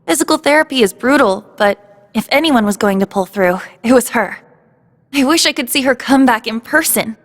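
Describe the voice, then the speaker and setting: A woman's voice: on the high side, lively intonation, normal speed, long reverb tail. An actress playing a spirited, confident young female cadet in a video game cutscene. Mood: earnest. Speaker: voice actor.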